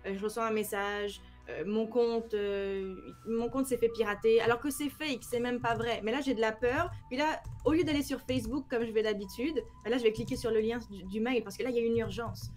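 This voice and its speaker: A woman in her thirties from France, with a French accent and some Quebec expressions, explaining her work in a casual video-call interview with lively hand gestures. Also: on the high side, brisk, hardly any pauses, faint music behind. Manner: animated, explains with many examples, gestures with hands. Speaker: cybersecurity trainer, guest. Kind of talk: casual interview on a live stream. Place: home room, indoors.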